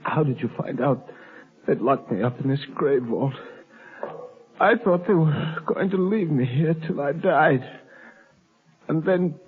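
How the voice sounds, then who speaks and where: An actor playing a weak, desperate captive in a 1930s radio drama, hoarse, breathy and exhausted. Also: male voice, a little high, unhurried, long pauses, close to an everyday voice. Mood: weak, pleading. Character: weak, desperate man held captive in tomb. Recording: old 1930s radio broadcast, narrow band.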